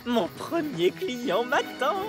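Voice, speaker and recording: A man's voice: very high voice, sing-song, takes it slowly, exaggerated voice. An actor playing a boastful, scheming male clown merchant with showman patter in a cartoon dub. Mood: smug. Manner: showman patter, theatrical haggling. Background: music score.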